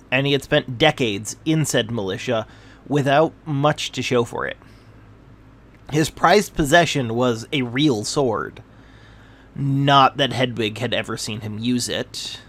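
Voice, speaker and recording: A man's voice: medium pitch, fairly bright tone. A man reading a scripted fantasy tale aloud as its narrator.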